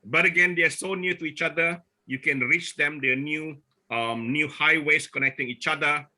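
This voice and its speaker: A man from Borneo presenting at a forum over a video call, speaking haltingly with many restarts and fillers. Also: on the high side, pushed and bright. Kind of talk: online presentation talk.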